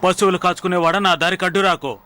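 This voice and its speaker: A man voicing an arrogant young villain's son in a dubbed animated series, haughty and contemptuous. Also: high-pitched, fast, slight background noise. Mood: haughty, scornful. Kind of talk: animated series dub acting.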